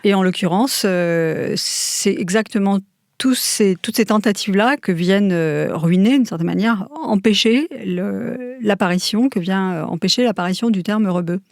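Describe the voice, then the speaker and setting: A middle-aged woman professor explaining at length, pausing and correcting herself as she thinks. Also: medium pitch, no room sound, studio quality. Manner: thoughtful, frequent hesitations and self-corrections. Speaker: university professor. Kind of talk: interview answer, lecture-like explanation.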